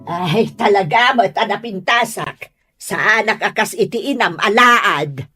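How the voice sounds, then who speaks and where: A woman's voice: medium pitch, lively intonation, a little slow, pushed and bright, soft background music. A woman playing a proud, disapproving middle-aged mother who scolds bluntly in an Ilocano comedy drama. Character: disapproving, proud, quarrelsome mother of a grown son.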